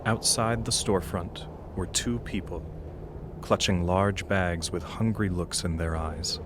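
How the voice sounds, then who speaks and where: A man's voice: low voice, steady tone, a little slow, noisy background. A man narrating an audio drama as a grave, solemn storyteller with an ominous manner.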